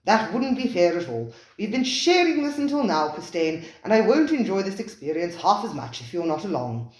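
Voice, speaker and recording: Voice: medium pitch, big pitch swings, normal speed, reverberant, voice pushed up, close to an everyday voice. A reader voicing an anxious, earnest male diner.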